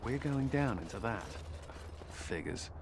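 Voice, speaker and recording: A man's voice: mid-range voice, lively intonation, measured pace, a little hiss or hum, natural voice. Game role: man plays sarcastic companion who grumbles; mock-enthusiastic, very varied intonation. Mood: sardonic. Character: sarcastic, grumbling male adventurer. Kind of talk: video game voice acting.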